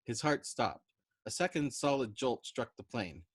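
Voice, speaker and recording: A man reads fiction aloud as a storyteller, with expressive narration. His voice sits at a medium pitch for a man, and the recording is dry and close. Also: unhurried, husky, no room sound. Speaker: storytime reader. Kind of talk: expressive audiobook narration of a novel.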